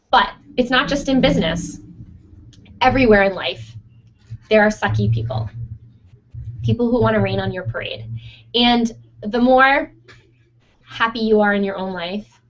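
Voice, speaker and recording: A young woman who runs a photo studio, answering listener questions in a chatty, casual way with frequent fillers and laughs, her voice going hoarse. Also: medium pitch, normal speed, pauses often. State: losing her voice. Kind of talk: casual Q&A monologue. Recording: dull lo-fi sound.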